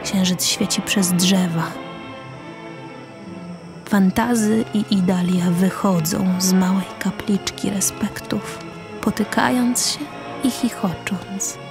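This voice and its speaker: A woman narrates a scripted radio play over music. Her mid-pitched voice moves slowly through short, measured phrases of stage direction. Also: fairly even intonation. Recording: music behind the voice.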